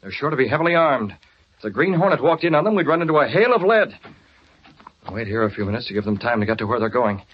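An American actor playing a decisive, commanding masked vigilante in an old radio adventure serial. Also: male voice, big pitch swings, fast, slightly rough. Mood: resolute. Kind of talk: 1930s-40s radio acting. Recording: noisy muffled vintage radio, car rumble.

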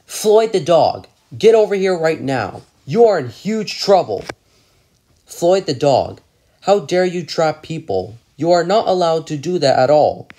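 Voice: medium pitch, very animated, a little slow, mellow. A performer voicing a strict cartoon parent who scolds a child for misbehaving. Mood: stern. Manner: stern, scolding.

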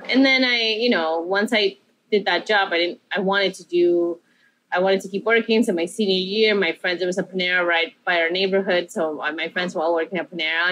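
A woman's voice: lowish voice, fairly bright tone, rough recording. A woman from Peru, a chef and interview guest, telling her story in a rambling, self-correcting, upbeat way.

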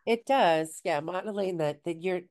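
A woman co-hosts a webinar on a video call, with a deep, smooth voice. She reads chat comments aloud and builds on them in an encouraging way. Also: moderate pace, a little mellow. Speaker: webinar co-host. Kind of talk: conversational webinar discussion.

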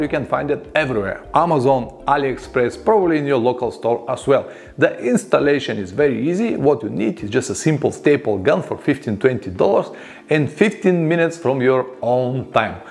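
Male vlogger, 40s, Bulgarian accent, mid-pitched, lapel mic in a home garage. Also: normal speed, creaky. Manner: animated, gestures a lot, chatty with non-native grammar. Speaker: motorcycle travel vlogger. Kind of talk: casual storytelling to camera. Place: home motorcycle garage workshop, indoors.

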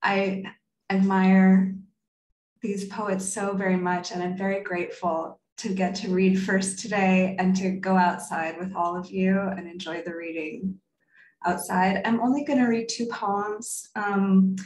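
A woman's voice: medium pitch, steady tone, a little slow, darkish tone. A woman in her thirties, a poet speaking at a reading over a video call from a room at home. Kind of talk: poetry reading with informal remarks. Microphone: computer mic on a video call. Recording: roomy, echoey video-call audio.

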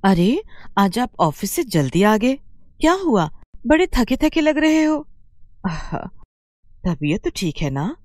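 A woman voicing an envious, irritable housewife in a cartoon moral story, expressive and dramatic. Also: fairly high pitch, slow, pauses often, no echo, studio quality, pitched above the performer's own voice. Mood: concerned.

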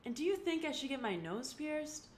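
A woman's voice: high-pitched, sing-song, normal speed, slight background noise, pitched above the performer's own voice. A woman voicing a heartbroken teenage girl who speaks tearfully and sniffles.

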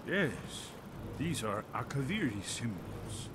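An actor playing a learned old man in a fantasy video game, musing in a measured, scholarly way. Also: medium pitch, big pitch swings. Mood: absorbed, instructive. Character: learned old male scholar, absorbed in ancient relics. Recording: loud ambient noise, echoey cavernous reverb. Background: loud game ambience.

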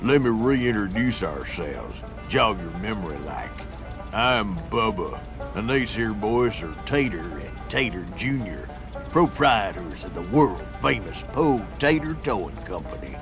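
A man's voice: on the low side, sing-song, measured pace, music in the background. A man voicing a big, scornful country tow truck bully with a Southern US accent in a cartoon video game. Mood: menacing. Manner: folksy, menacing, scornful. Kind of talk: animated video game acting.

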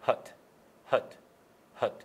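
A middle-aged man coaching English pronunciation in a casual, hands-on way, demonstrating sounds in a meeting room. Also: extremely slow. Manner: demonstrates single sounds and words, drawn out. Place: small meeting room, indoors.

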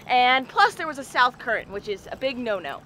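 An American woman in her thirties chatting casually and excitably as a fishing host, recorded outdoors on a small boat. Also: fairly high pitch, fairly quick, slight background noise. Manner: excitable, exclamatory, informal. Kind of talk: casual vlog chat, explaining to viewers. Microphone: action camera at arm's length or a few feet away.

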